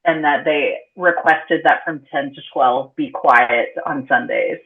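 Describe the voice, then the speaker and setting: A woman's voice: sits low, measured pace. A woman from Atlanta, a guest motorsport instructor, telling stories in an easygoing way in a casual interview. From Atlanta, Georgia, US. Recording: tinny phone-like line.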